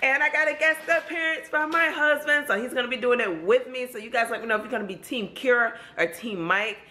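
A young woman with a slightly raspy voice, chatting excitedly to her viewers in a casual home vlog, filming from arm's length. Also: on the high side, fast, slight background noise. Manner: chatty, loud bursts of excitement. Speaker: vlogger. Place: home kitchen and dining table. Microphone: handheld camera at arm's length, later table camera. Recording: echoey room with background music.

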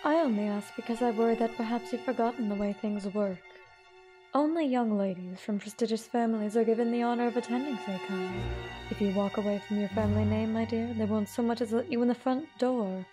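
Lady doing a posh icy anime mom, highish voice, formal and condescending, music behind her. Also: fairly even intonation, brisk, close to an everyday voice. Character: haughty aristocratic mother, polite but cold and domineering. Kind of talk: anime fandub acting.

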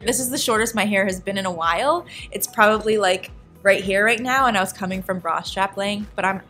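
A woman's voice: mid-range voice, fairly quick, fairly bright tone, faint music behind. Chatty young American woman vlogger. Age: young adult. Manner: chatty, playful, humorous, conversational. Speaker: vlogger reviewing a hair salon visit. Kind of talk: casual vlog narration to viewers.

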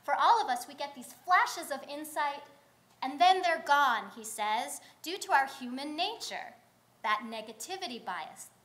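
A young woman rabbi delivers a measured sermon from a lectern, her voice high and clear. She reasons aloud and poses questions to the congregation. The sound is picked up at a distance in a large wood-paneled sanctuary. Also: animated, fairly bright tone. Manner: thoughtful, measured preaching with rhetorical questions. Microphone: far fixed camera, lectern mic.

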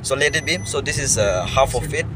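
A man's voice: mid-range voice, expressive, brisk, noisy background. A young man chatting casually and jokingly into a phone held at arm's length, rambling with lots of fillers. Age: young adult. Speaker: vlogger. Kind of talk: casual vlog chat. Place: car, then a restaurant.

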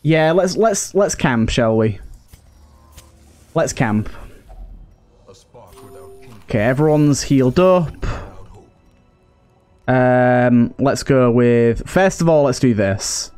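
A man's voice: mid-range voice, animated, slow pace, long pauses, darkish tone, smooth, faint music behind. A man giving casual commentary as he plays a game, thinking aloud in an understated way. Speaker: gaming video host.

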